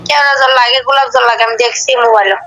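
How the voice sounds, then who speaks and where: A woman with a high, bright voice chats casually over a phone video call. She is talkative and lays out her plans in long runs of speech. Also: steady tone, very fast. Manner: chatty, explains plans at length. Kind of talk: casual chat.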